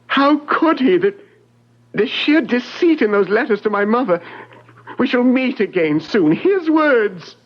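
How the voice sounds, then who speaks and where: Shaken young gentleman, high-pitched. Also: very animated, heavily acted. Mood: distressed. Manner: dramatic, halting, earnest. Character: shaken, earnest young gentleman, a bereaved son. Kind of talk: old-time radio drama acting. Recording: dull lo-fi radio drama recording.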